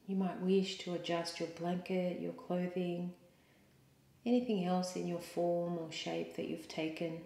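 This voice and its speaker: Low, mellow woman guiding meditation, roomy echo. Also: creaky. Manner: calm, soothing, measured with pauses. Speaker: yoga teacher. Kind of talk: guided meditation. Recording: roomy, echoing sound.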